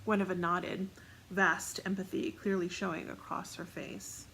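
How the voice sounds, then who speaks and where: Creaky-voiced woman narrating a story. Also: lowish voice, little pitch movement, unhurried, bright, forceful tone, slight background noise, low-quality recording. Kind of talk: scripted fiction narration.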